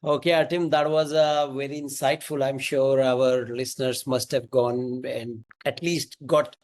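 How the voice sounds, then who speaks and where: A man's voice: mid-range voice, flat delivery, measured pace, a little mellow. A man hosting a podcast interview, asking prepared questions and agreeing briefly. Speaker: podcast host.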